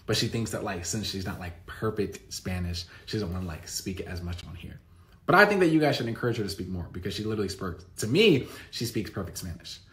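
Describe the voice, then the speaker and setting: A man in his thirties chatting casually to camera, answering viewer questions with lots of fillers and restarts, recorded close on a phone in a bright room at home. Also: on the low side, animated, fairly quick. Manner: rambling, restarts often, many "like" and "um" fillers.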